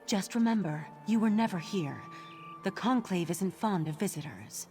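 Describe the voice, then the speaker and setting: A woman's voice: mid-range voice, animated, moderate pace, warm tone, natural voice. An actress playing a knowing, discreet woman of a secretive island in a video game. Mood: conspiratorial. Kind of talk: video game character dialogue. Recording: background music under the voice.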